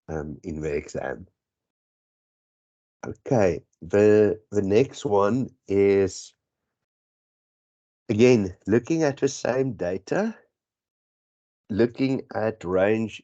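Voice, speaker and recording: A man's voice: low-pitched, mellow. A man with a South African accent whose first language is Afrikaans, tutoring statistics for an exam in a rambling, self-correcting way, on dull lo-fi audio. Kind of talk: exam prep lesson.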